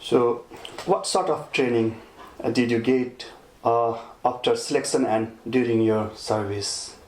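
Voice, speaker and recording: In an echoey room with faint noise behind him, a man conducts a formal interview. His voice is mid-pitched and he puts his questions as if prepared. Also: steady tone, measured pace.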